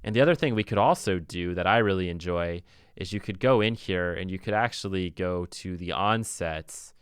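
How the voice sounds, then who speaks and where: A man's voice: deep voice, normal speed, clean tone. A man giving a casual, informal music production tutorial, thinking aloud as he demonstrates on a close mic. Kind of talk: casual software tutorial talk.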